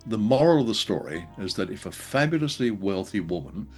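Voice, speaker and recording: Older man, low-pitched, bantering. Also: very animated, a little mellow, husky, soft background music. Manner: jokey, anecdotal, halting with many hesitations. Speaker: co-host of a radio drama show. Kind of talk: casual bantering chat.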